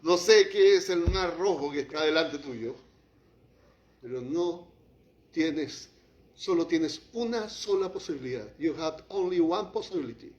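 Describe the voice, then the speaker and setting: Man, pastor, fervent sermon, fairly high voice, roomy hall, muffled sound. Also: expressive, a little slow. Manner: impassioned preaching, drops to quiet, then rises to acted outbursts.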